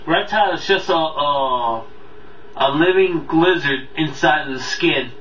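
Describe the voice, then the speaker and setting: A man hosting a casual countdown review, talking off the cuff in a rambling, halting way that often loses its thread. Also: on the high side, bright, forceful tone. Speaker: video countdown host and reviewer. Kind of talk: casual unscripted review talk to viewers. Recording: roomy, distant, dull mic, faint noise.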